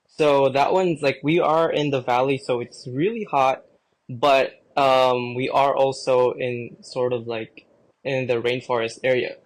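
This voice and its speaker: A man's voice: mid-range voice, unhurried, low-quality recording. Filipino-born man, easygoing guest chat. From Philippines. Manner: relaxed, rambling with many fillers. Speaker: podcast guest. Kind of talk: casual chat.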